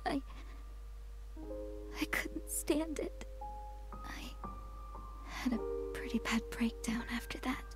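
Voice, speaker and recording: A woman playing a troubled, apologetic, grieving teenage girl in a visual novel, speaking softly and hesitantly. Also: a little high. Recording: music behind the voice.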